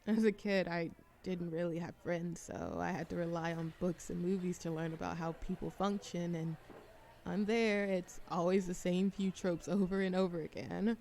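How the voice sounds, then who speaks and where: A woman's voice: fairly low pitch, steady tone, moderate pace, darkish tone, close to an everyday voice. A woman playing a shy, socially anxious young woman in a café audio roleplay, chatting in a hesitant, self-deprecating ramble. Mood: shy, chatty. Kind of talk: roleplay ASMR audio drama, conversational monologue.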